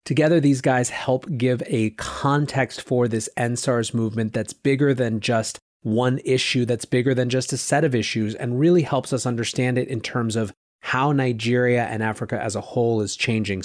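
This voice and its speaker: A man's voice: mid-range voice, dry recording, clean studio sound. A man hosting a podcast, opening the show and then chatting casually with his guests. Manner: upbeat, conversational with fillers. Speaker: podcast host. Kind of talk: podcast intro and interview.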